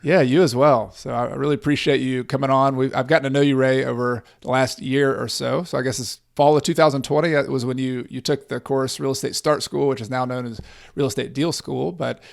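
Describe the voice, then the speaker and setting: Gravelly-voiced man hosting a podcast. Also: mid-range voice, steady tone, fairly quick. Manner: earnest, encouraging. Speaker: podcast host and real estate coach. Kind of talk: podcast interview.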